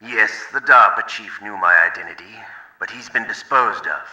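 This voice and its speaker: A man's voice: lowish voice, normal speed, sharp tone. An actor playing a sly, deferential secret agent in a video game, reporting to his superior in clipped, conspiratorial phrases. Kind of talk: dramatic video game voice acting, one-sided call. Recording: roomy echo.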